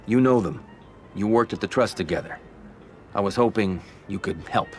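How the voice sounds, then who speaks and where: An actor playing a smooth, ambitious Italian-American mafia boss in a video game, speaking in a measured, persuasive American voice. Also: male voice, fairly low pitch, a little mellow, soft background music, natural voice. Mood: firm, persuasive. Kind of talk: video game cutscene acting.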